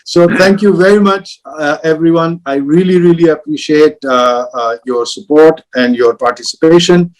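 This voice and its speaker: A man moderating an online travel industry panel on a video call, halting with many fillers and restarts. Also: a little high, rough recording.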